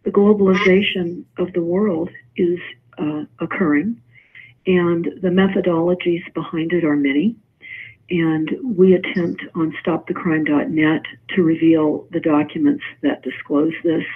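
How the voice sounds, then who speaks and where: A man speaking as a guest in a video call roundtable, halting and filling pauses often. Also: fairly high pitch, fairly even intonation, slightly rough. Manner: halting, lots of uh and um. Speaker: panel guest. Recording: tinny phone-like call line.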